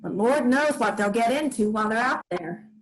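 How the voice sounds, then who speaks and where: A woman's voice: fairly high pitch, lively intonation, moderate pace, natural voice, low-quality recording. A woman reading a wry old farm widow. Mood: dry. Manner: dry, wry, plainspoken rural talk. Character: Grams, weary old farm widow, dry and stubborn. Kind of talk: staged play reading.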